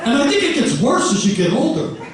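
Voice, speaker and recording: A man in his fifties doing a stand-up set on a theater stage, loud and exasperated, sometimes breaking into shouts, with audience laughter behind him. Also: very high voice, huge pitch swings, fairly quick. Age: 50s. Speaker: stand-up comedian. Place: live comedy theater stage. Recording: roomy hall, distant, crowd noise.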